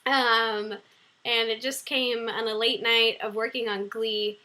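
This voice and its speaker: Young woman, bubbly livestream host, fairly high voice, bright and sharp tone, laughs a lot, hums while reading, webcam mic at home. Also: little pitch movement, takes it slowly. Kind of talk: casual livestream chat. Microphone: computer webcam mic.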